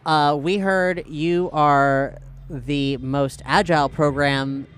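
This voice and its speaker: A woman playing a tabletop role-playing game, improvising in a playful, halting, casual way. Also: low voice, fairly even intonation, a little hiss or hum. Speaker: tabletop role-play player.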